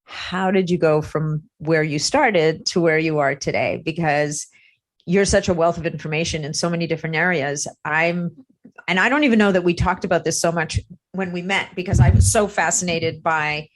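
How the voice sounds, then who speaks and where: A woman's voice: lowish voice, moderate pace. A woman hosting a podcast, chatting upbeat with her listeners and guest. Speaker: podcast host. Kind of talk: podcast interview.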